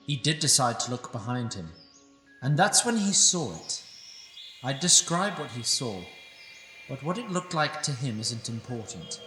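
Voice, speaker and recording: In a fantasy audio drama, a man voices a wry old sprite who tells the tale with a lively, rising-and-falling delivery and dry asides. His voice sounds distant in a roomy, echoey space with a long reverb tail. Music plays softly underneath. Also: mid-range voice. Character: wry sprite narrator, male, ancient. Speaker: narrator.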